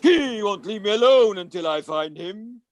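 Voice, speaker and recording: A man's voice: sits high, expressive, moderate pace. A man acting a grumpy, impatient, wealthy villain in an amateur pantomime, with a haughty, exasperated theatrical delivery. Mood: indignant. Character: grumpy, impatient wealthy villain parent seeking a missing son.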